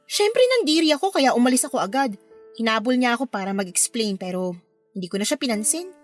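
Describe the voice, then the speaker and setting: Casting: actress for aggrieved young Filipina, high voice, plaintive audio drama confessions, light music underneath. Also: female voice, lively intonation, fast, lightly acted. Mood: aggrieved recounting. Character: hurt young woman confiding about cheating boyfriend.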